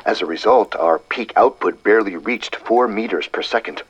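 A man's voice: on the low side, normal speed, slightly rough, lo-fi sound, voice pushed up. A man voicing an earnest, wry woman scientist dictating a chatty, precise audio diary in an audiobook story. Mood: businesslike.

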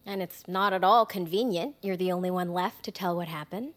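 A woman's voice: on the low side, a little fast, natural voice. A woman acting a skeptical, pressing government interrogator in an audio drama, formal, clipped and accusing.

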